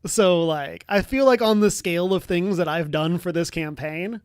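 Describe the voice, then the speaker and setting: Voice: medium pitch, normal speed, smooth, no room sound, clean studio sound. A game master running a casual tabletop game in a playful, wry way.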